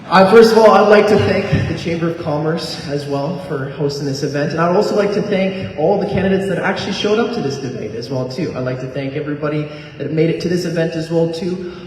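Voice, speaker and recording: A man's voice: fairly high pitch, fairly quick. A man giving an earnest campaign speech at a debate, hammering on repeated phrases. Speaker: candidate. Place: community hall. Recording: echoey hall, distant mic.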